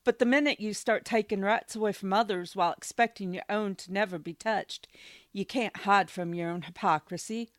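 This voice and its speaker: A woman's voice: mid-range voice, normal speed, no room sound, clean studio sound, natural voice. A woman acting as a fed-up, defiant young progressive protester in a satirical radio drama.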